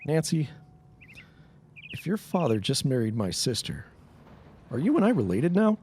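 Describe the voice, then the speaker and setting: Audio drama young man male actor: folksy, easygoing, animated pitch, faint outdoor bird noise behind. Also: normal speed, close to an everyday voice. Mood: hesitant teasing.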